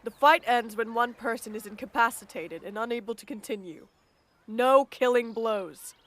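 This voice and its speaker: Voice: mid-range voice, normal speed, a bit bright, lightly acted. A performer playing a commanding academy girl formally announcing duel rules in an anime-style audio drama.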